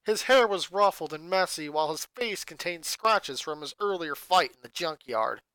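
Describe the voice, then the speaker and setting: A fan fiction reader narrating a story dramatically with an expressive delivery. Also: medium pitch, moderate pace, dry recording, rough recording.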